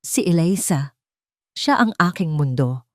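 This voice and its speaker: A woman voicing a grieving, guilt-ridden man in an audio drama, speaking gravely and haltingly with pain. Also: sits low, expressive, no room sound, studio quality. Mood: wistful, pained.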